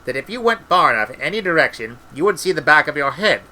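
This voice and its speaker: A man's voice: a little high, big pitch swings, fast, pushed and bright, heavily acted, poor audio. A man voicing a gloomy, puzzled wizard in a storybook, doubtful but insistent in his manner.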